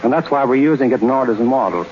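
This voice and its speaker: A man giving measured, formal, slightly halting answers as a guest in a scripted 1930s radio interview. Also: medium pitch. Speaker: interview guest, fashion and model expert. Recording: muffled, noisy 1930s radio broadcast.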